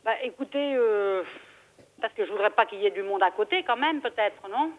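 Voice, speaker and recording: A woman's voice: medium pitch, animated, moderate pace. Polite woman on a dull phone line. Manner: polite, deferential, hesitant. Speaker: restaurant owner answering the phone. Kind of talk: spontaneous phone conversation. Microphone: telephone.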